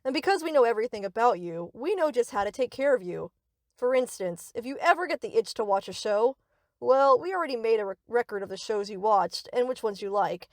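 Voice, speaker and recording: Woman doing a doting yandere bestie, kinda high voice, chirpy and reassuring but possessive, asmr roleplay, no room sound. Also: expressive, a little fast, darkish tone, no echo, studio quality. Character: sweet, reassuring, possessive yandere best friend, young. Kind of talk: anime-style ASMR audio roleplay.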